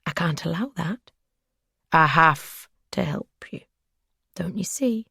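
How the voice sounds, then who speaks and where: A woman voicing an obsessive male captor who speaks in soft, breathy whispers. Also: on the low side, expressive, slow, no echo, studio quality, exaggerated voice. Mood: whispered menace.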